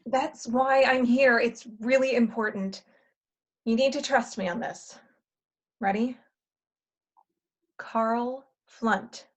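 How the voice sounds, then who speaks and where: A woman's voice: on the high side, takes it slowly, pauses often. A woman playing a cynical, money-minded twenty-seven-year-old in a comedy play reading, blunt and insistent. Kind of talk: comedy play read aloud.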